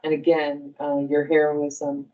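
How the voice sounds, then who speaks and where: Low-voiced woman presenting staff awards. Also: monotone, phone-quality sound. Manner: halting, appreciative, addressing a group. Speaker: medical center director presenting awards. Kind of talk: informal award presentation speech.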